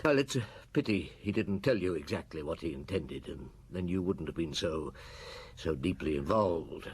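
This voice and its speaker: An actor playing a shrewd, weary, middle-aged police detective in a radio drama, speaking in a measured, probing, dry and blunt way. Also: male voice, low-pitched, lively intonation, breathy edge, full character voice. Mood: grave, explaining.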